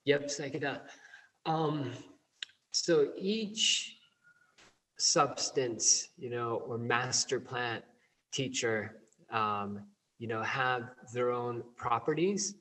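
A man who works as a plant medicine provider, answering interview questions in a relaxed way, full of ums and you-knows. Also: medium pitch, takes it slowly, poor audio. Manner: relaxed, many fillers like um and you know. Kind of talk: interview answers over a call.

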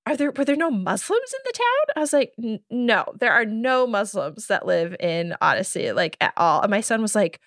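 A woman's voice: fairly high pitch, very animated, moderate pace, hardly any pauses, a bit bright, no room sound, clean studio sound. A woman in her thirties with an American accent chatting casually and exasperatedly as a podcast guest. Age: 30s. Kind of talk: casual chat.

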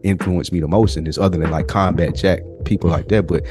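A man hosting a podcast, telling stories in casual, animated talk full of slang and swearing. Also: deep voice, quick pace, soft background music. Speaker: podcast host.

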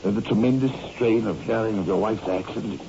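An actor playing an earnest, loyal assistant surgeon with formal, emphatic delivery in a 1960s radio drama. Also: male voice, lowish voice, fairly quick, lightly acted. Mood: respectful, solemn. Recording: muffled, noisy old radio recording.

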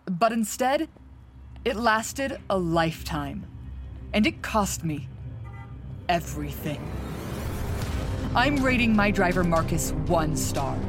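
A woman's voice: mid-range voice, close to an everyday voice. A woman playing a betrayed, embittered customer in an absurd comedy monologue, grave and melodramatic. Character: betrayed, embittered woman reading out her grave complaint review.

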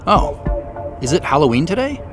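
An actor playing a wealthy company boss on a mysterious quest, in a naturalistic audio drama. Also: male voice, on the high side, sing-song, moderate pace, faint music behind. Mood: casual.

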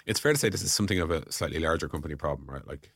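Very deep, fast-talking man hosting a podcast. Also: fairly bright tone, slightly rough, no echo, clean studio sound. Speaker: podcast host. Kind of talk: conversational podcast interview.

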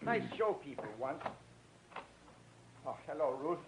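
An actor playing an agitated, talkative American producer in a 1940s radio drama, emphatic and indignant. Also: male voice, fairly high pitch, animated, unhurried. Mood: worried, earnest. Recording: noisy old radio recording.

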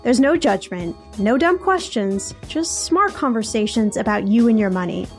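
A woman hosting a money podcast, hoarse and froggy from a recent cold, chatting casually and laughing between points. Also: a little high, expressive, moderate pace, faint music behind. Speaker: podcast host. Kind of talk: casual solo podcast talk.

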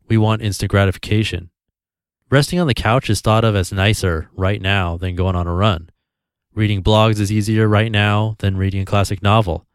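A man hosting a podcast, calmly reading a blog post aloud in an even, easygoing way. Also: on the low side, smooth, dry recording, studio quality. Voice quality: calm, even voice.

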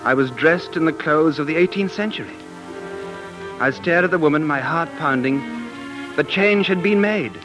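A man's voice: fairly high pitch, moderate pace, close to an everyday voice. An actor playing an earnest, dreamy man who is obsessed with the past, narrating intensely in 1940s radio drama style. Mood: awed. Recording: vintage 1940s radio broadcast with music.

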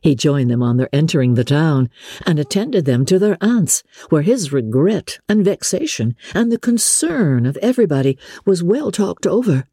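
A woman narrating classic literature as a dramatic reading, expressive, measured and articulate. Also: sits low, husky, dry recording, studio quality. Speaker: audiobook narrator.

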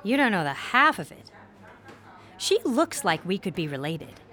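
An actress playing a sheltered young college student in an audio drama, wry, guarded and self-deprecating, in a naturalistic style. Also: female voice, medium pitch, very animated, moderate pace, pushed and bright. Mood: wry, friendly. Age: young adult.

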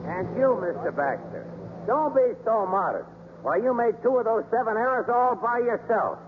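An American actor playing an abusive, sarcastic baseball team manager in a 1950s radio drama, blustering and sneering. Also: male voice, high voice, lively intonation, normal speed. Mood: sneering sarcasm. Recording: noisy, tinny old radio broadcast.

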